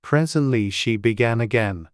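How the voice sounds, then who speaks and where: A storybook narrator reading a scripted children's audiobook in a measured way, speaking to the listener. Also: medium pitch, dry recording, studio quality.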